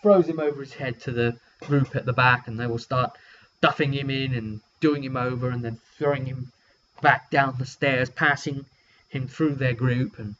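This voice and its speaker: A British man with a mid-pitched voice tells the story of a tabletop roleplaying session in a loose vlog style. He rambles and hesitates as he goes. The sound is slightly dull, with a faint hum underneath. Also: fairly bright tone. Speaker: tabletop game master recapping sessions.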